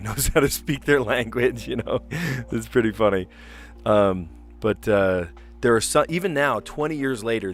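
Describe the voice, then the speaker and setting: Man thinking aloud over a puzzle livestream. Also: lowish voice. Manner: thinks aloud, easily amazed, chuckling. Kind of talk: casual livestream commentary. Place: home room, indoors. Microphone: close podcast mic on a desk.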